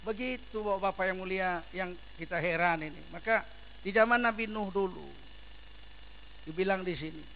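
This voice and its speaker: Male mosque preacher with a very high voice and an emphatic, questioning delivery, heard through a dull recording. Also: moderate pace, slight background noise. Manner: emphatic, fires rhetorical questions at the audience. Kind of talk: sermon. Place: large mosque.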